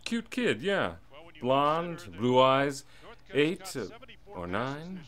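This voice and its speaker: Male radio-drama henchman, dry, sardonic, threatening, expressive pitch. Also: very slow, heavily acted. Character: cool, sardonic male robber, menacing sidekick.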